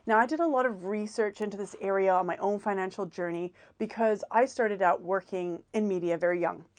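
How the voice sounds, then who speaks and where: A woman's voice: medium pitch, fairly quick. A woman hosting a personal finance live stream, talking in a rambling casual monologue with many fillers and repeated words, a little run down. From grew up in Hong Kong, Canada, Australia and New Zealand.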